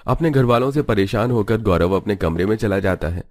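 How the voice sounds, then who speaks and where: A man with a fairly low voice narrates a cartoon story. His delivery is scripted storytelling, telling events in the third person. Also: lively intonation, brisk, darkish tone, dry recording, studio quality.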